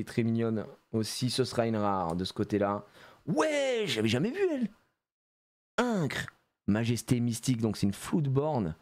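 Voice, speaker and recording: Lively, jokey man streaming card openings. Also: mid-range voice. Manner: animated and jokey, laughs often, plays on words. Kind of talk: casual live-stream chat.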